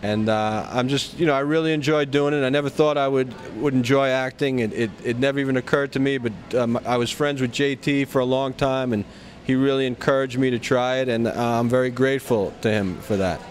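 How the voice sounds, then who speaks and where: A man with a medium-pitched voice answers questions informally. His phrasing is halting, with frequent stops and restarts. Faint ambient noise sits behind him. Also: steady tone. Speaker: actor being interviewed. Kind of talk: casual event interview.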